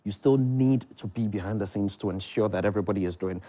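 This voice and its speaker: A man with a Ghanaian accent speaks in a fairly low-pitched voice. He talks conversationally, as a theatre producer and director answering questions in a TV interview. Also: quick pace, dark tone. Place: television studio.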